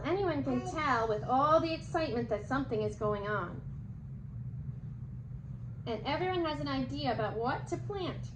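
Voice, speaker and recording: A woman reading a children's picture book aloud as a storyteller, expressive and animated. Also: high voice. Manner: animated, acting out the dialogue. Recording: echoey room with clear background noise.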